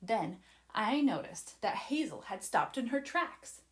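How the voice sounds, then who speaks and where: A woman voicing an excited, adventurous young boy who eagerly and dramatically narrates a children's storybook to his listeners. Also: a little high, takes it slowly, exaggerated voice. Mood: excited storytelling. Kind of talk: children's storybook read-aloud.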